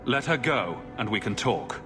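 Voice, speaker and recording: A level-headed fantasy hero played by a man speaks directly and without fuss. His voice is medium in pitch and composed, with game music clearly heard behind it. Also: lively intonation, normal speed, natural voice. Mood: firm, threatening. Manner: composed, to the point. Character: cool-headed, direct male adventurer hero for hire. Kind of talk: video game voice acting.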